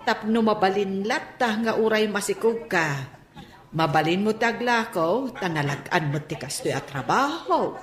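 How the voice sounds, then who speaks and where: Older mother played by a woman, medium-pitched, everyday family talk, radio drama, roomy sound. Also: lively intonation, measured pace, close to an everyday voice. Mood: warm, approving. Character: older mother chatting about family affairs.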